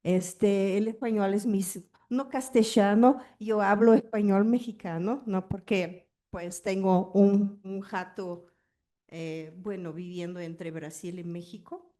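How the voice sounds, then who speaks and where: Slow, mellow woman speaking Spanish. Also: medium pitch, steady tone. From Brazil. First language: Portuguese. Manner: hesitant, searching for words with many fillers. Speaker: panel speaker. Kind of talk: panel discussion.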